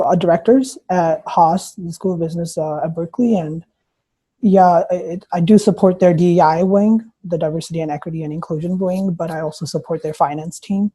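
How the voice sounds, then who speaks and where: Mellow-voiced young man, hesitant and halting. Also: high-pitched. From Punjab. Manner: hesitant, many uhs and restarts. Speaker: guest poet. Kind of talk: casual interview answers. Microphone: computer mic on video call.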